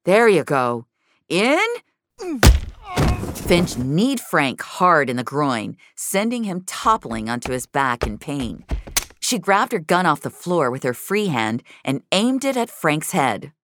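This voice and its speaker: A woman's voice: low voice, sing-song, unhurried, background noise. A woman narrator reading scripted fiction in a dramatic storytelling style.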